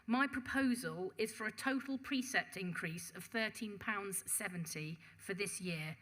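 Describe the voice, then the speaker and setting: Woman, commissioner type, bright clear tone, formal measured budget speech to a council panel. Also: medium pitch. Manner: measured, reads out figures, occasional restarts. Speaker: police and crime commissioner presenting a budget. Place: council meeting chamber.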